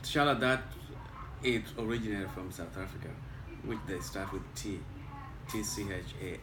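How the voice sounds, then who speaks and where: A man's voice: lowish voice, moderate pace. A man from Kenya with a Kenyan accent, whose first language is Luo, patiently answering interview questions about his home country. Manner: patient, explaining step by step. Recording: echoey room, mic at a distance, noisy.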